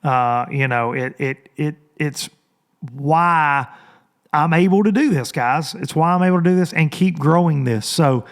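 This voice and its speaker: A man hosting a casual podcast, hoarse and rambling, chatting loosely to his listeners into a microphone. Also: on the high side, a little slow. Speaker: podcast host. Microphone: studio microphone.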